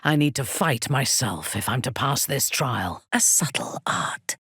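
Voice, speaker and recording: A woman voicing a ruthless, sensual female warrior commander in a fantasy video game, with a husky, imperious and measured menacing delivery. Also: rumbling low, expressive, a bit bright, no room sound, clean studio sound, heavily acted. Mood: commanding. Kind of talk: dramatic fantasy game dialogue.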